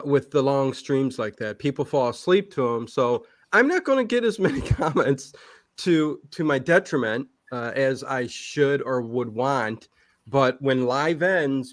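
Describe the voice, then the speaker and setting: A man who hosts livestreams, telling stories casually in an animated, chatty way and acting out remembered remarks. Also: mid-range voice, unhurried, no room sound, studio quality. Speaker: livestream channel host.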